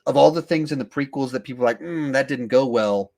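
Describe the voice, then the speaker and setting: A man's voice: mid-range voice, quick pace, a little mellow. A man hosting a podcast, chatting casually about films in an emphatic way, often thinking aloud. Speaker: podcast host. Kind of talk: casual film discussion.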